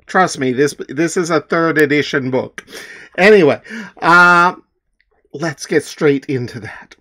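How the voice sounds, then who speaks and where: A man's voice: fairly high pitch, a little slow, sharp tone. A man talking casually and emphatically as a comic author introducing his own dramatic reading.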